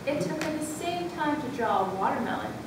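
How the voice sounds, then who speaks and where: A woman's voice: animated, a little fast. A woman narrating a scripted story in an amateur readers' theatre performance. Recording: distant, very echoey room, noisy with rumble.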